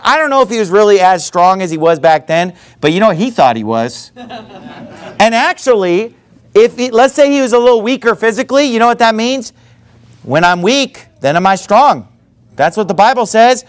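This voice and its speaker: Preacher, man in his thirties, high-pitched, lively and conversational, reads scripture. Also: clean tone. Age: 30s. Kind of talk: sermon.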